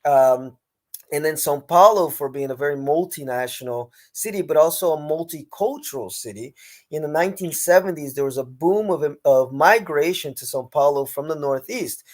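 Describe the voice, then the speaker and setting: A male host with a fairly high voice chats with animated emphasis, leaning hard on key words. He sounds friendly and eager to share tips. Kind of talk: casual chat.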